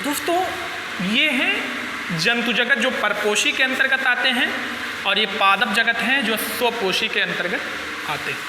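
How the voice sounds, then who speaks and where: A man teaching a biology class while nursing a sore throat, his voice hoarse and pitched very high. He stumbles over words here and there. The recording sits in a reverberant hall with a clear hiss of noise behind him. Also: expressive, a little slow. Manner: tongue stumbles now and then; addresses students as friends. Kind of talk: biology lecture.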